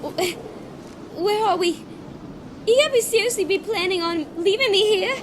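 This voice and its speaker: A woman playing a defiant, indignant young female adventurer in an audio drama, emotive and halting. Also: very high-pitched, lively intonation, a little hiss or hum. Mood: angry alarm.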